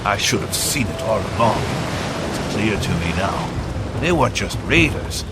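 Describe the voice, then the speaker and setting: An actor playing a resolute, authoritative admiral in a dramatic game cutscene, speaking deliberately. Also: male voice, on the low side, big pitch swings, very noisy. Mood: grave, urgent. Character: commanding, resolute Korean admiral. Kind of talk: dramatic scripted game acting. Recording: loud music behind the voice.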